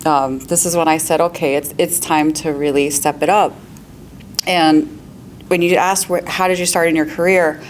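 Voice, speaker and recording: A woman's voice: sits low, fairly even intonation, normal speed, a little hiss or hum. A woman, a real estate agent on a panel, giving upbeat, practical advice with frequent laughs and questions to the audience.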